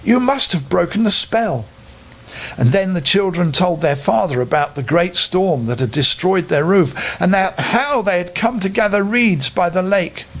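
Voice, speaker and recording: A man's voice: high voice, moderate pace, hardly any pauses, a bit bright. An older man narrating a children's bedtime story expressively, as a storyteller.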